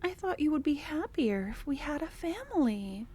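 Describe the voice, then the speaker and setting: A woman voicing an obsessive, possessive female cultist lover in an ASMR roleplay, talking softly and slipping into whispers, tender yet menacing. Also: high voice, very animated, normal speed. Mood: bitter, regretful. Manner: soft-spoken, drifting into whispers.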